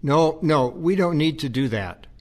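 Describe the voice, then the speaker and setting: Man playing a gentle, higher-voiced, weary priest. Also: animated, unhurried. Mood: coaxing. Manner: coaxing, earnest. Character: gentle, weary priest caring for his mother. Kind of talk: audio play acting.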